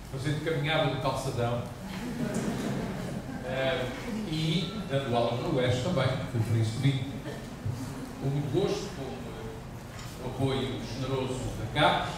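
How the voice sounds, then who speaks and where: A man's voice: mid-range voice, measured pace, pauses often. A man from Portugal with a European Portuguese accent, giving an academic conference talk in a courteous, carefully articulated, lightly humorous manner. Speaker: visiting professor, conference speaker. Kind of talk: academic conference talk with informal opening remarks. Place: conference room, heard from afar with ambient noise. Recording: echoey noisy hall, distant microphone.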